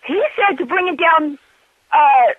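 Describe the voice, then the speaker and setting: A woman's voice: high voice, measured pace. A woman on a tinny phone line, talking as an indignant, insistent customer. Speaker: upset customer. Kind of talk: phone conversation.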